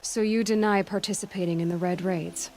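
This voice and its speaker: Woman acting a young game heroine, resolute and wary, mid pitched, very direct, clear noise behind her. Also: steady tone, brisk, a little mellow, natural voice. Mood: guarded, determined. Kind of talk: video game dialogue acting.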